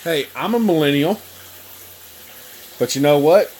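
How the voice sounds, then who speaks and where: A man's voice: fairly high pitch, lively intonation, unhurried, darkish tone, a little hiss or hum. A man in his thirties, hosting a casual live chat and telling stories with a joking, teasing manner. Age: 30s. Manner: joking, storytelling banter. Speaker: channel host.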